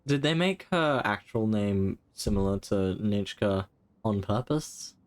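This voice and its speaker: A game streamer giving casual, halting reaction commentary in muttered asides. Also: mid-range voice, lively intonation.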